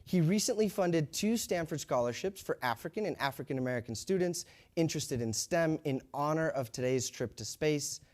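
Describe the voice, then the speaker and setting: A man co-hosting a live launch broadcast, reading crew introductions with steady, polished delivery. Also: fairly high pitch, moderate pace, few pauses. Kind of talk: scripted live broadcast commentary.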